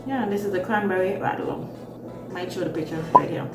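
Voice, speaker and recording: A woman's voice: fairly low pitch, a little fast, reverberant, recorded from a distance, over loud music, poor audio. A woman in her thirties from Jamaica with a Jamaican accent mixed with Bahamian, chatting casually and expressively to viewers as a vlogger. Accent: Jamaican with Bahamian mix. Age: 30s. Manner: chatty, expressive, repeats words for emphasis. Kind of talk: casual storytime chat.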